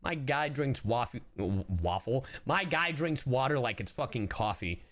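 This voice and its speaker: Animated, irreverent male streamer. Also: medium pitch, measured pace. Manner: irreverent, joking, heavy swearing. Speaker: streamer, reaction host. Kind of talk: casual livestream reaction commentary.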